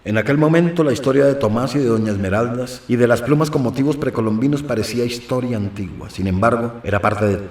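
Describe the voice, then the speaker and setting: A man's voice: mid-range voice, quick pace, hardly any pauses, a little mellow, natural voice. A man with a Colombian accent narrating an audio novel in the first person as a brooding, anxious character. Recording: roomy, faint noise, distant mic.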